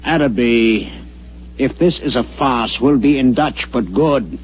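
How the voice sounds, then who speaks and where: An American actor playing a stern, blustering newspaper publisher who scolds and interrogates, in old-time radio drama style. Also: male voice, mid-range voice, slow, slightly rough. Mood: angry, scolding. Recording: dull, humming, noisy vintage radio recording.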